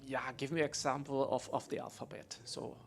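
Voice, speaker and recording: German guy in the audience, gravelly voice, lots of ums, kinda wry, a bit of room hiss. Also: mid-range voice, level tone, a little fast, darkish tone. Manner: hesitant, many fillers, wry. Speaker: audience member.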